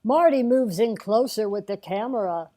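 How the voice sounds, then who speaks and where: A woman voicing a sweetly naughty elderly lady who narrates a comic script reading, halting and deliberate, with sly asides. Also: mid-range voice, animated, dark tone, natural voice. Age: older. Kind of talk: screenplay table-read narration.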